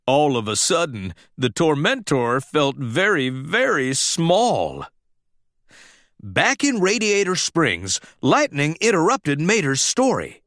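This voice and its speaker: Male storybook narrator for children, higher-pitched, very expressive, unhurried, close dry sound. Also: dry recording. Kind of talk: animated scripted read-aloud narration for children.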